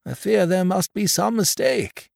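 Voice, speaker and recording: A man voicing a courteous, formal old gentleman who is hard of hearing, in a storybook reading. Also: high-pitched, vocal fry, no room sound, clean studio sound, voice pushed up, heavily acted. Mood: polite. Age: older. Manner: polite, formal and measured.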